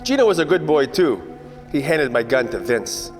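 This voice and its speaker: A man playing a wisecracking, world-weary 1940s private detective, narrating a radio noir parody in deadpan hard-boiled style. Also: medium pitch, moderate pace, in a large hall, over music, close to an everyday voice. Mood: sardonic.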